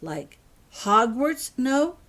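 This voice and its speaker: A woman's voice: high-pitched, very animated, extremely slow, pitched above the performer's own voice, exaggerated voice. Woman voicing an exasperated, angry father. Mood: urgent, questioning. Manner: shouts and snaps when angry. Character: angry, exasperated father, stern but loving.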